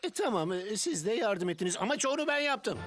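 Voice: medium pitch, very animated, quick pace, close to an everyday voice. An actor voicing a boastful, whiny little young hero in a cartoon dub, cocky and comic. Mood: proud. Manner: cocky, complaining. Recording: music behind.

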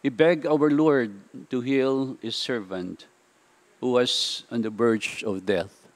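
An older man with a Filipino accent, a priest giving a homily in a large church through a lectern stand mic. He talks in a slow, halting way full of "uh" fillers and slips between English and Tagalog. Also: medium pitch, fairly even intonation. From Philippines. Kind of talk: sermon.

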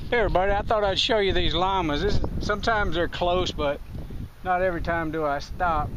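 An older American man with a Southern Midwest twang chats folksily to a camera held at arm's length, his voice sitting fairly high for a man. He's outdoors by a country pasture, and clear wind noise rushes over the recording. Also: highs cut off. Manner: folksy, talks to llamas in a high coaxing voice. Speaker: cyclist vlogger. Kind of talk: casual chat to camera. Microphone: handheld camera at arm's length.

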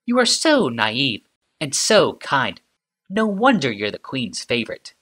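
A man voicing a sardonic female inventor in an audiobook, calm and mocking in tone. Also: medium pitch, a little slow, voice pushed up, exaggerated voice. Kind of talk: audiobook character reading.

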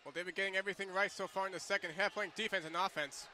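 A man's voice: high voice, fairly even intonation, racing. A man giving color commentary on a basketball game. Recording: loud arena noise behind. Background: basketball game in an arena.

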